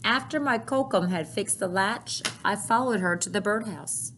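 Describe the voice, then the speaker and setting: A woman's voice: mid-range voice, normal speed. Woman reading aloud over background noise. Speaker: teacher reading a picture book aloud. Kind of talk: read-aloud storytelling with brief asides.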